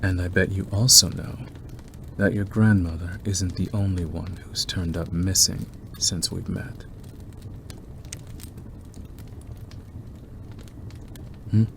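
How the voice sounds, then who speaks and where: Man playing a deep, slow, obsessive werewolf suitor. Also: fairly even intonation, heavily acted. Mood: probing, menacing. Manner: slow, deliberate, softly menacing and teasing. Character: obsessive predatory male werewolf, wolfish, smitten stranger. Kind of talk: ASMR roleplay monologue to the listener. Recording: clearly noisy background ambience.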